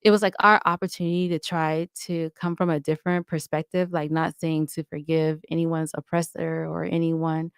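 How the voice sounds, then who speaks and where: Candid, chatty woman, lower-pitched voice. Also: moderate pace, hardly any pauses, clear, resonant tone, no echo, clean studio sound. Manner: chatty, candid, animated storytelling with playful asides. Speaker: podcast guest, audio drama podcaster. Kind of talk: casual interview talk.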